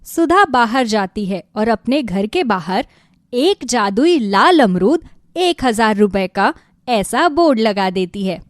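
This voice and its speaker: Woman reading a kids moral story, expressive, up and down pitch, very dry recording. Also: slow pace, no room sound. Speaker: narrator. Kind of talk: scripted children's moral story narration.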